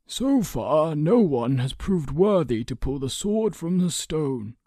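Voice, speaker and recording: A man playing a careful, persuasive guest in an audio story, measured but emphatic and fierce when challenged. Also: a little high, sing-song, dark tone, no echo, clean studio sound, full character voice. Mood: careful, encouraging.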